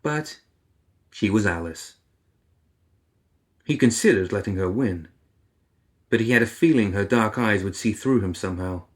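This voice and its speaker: A man reading a fiction story aloud as an expressive audiobook narrator. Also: on the low side, measured pace, pauses often. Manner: expressive, takes on characters' inner voices.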